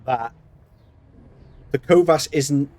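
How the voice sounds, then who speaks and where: A man's voice: fairly high pitch, takes it slowly, darkish tone. A man with a Mancunian accent chatting casually while live-streaming a game, rambling and laughing often. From Manchester, England.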